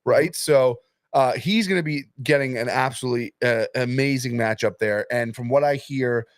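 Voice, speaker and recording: A young man hosting a casual podcast, hyping up matches and sponsors with excitable energy. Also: mid-range voice, moderate pace, dry recording, studio quality. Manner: hyped, excitable, promotional. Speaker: podcast host and arm wrestling promoter.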